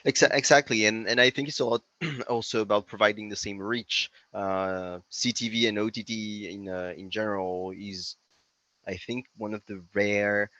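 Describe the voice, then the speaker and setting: Male guest, French accent, low but very bright voice, conversational executive explaining things. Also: steady tone, normal speed, no room sound, lo-fi sound. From France. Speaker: interview guest, company CEO. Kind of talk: conversational interview answers.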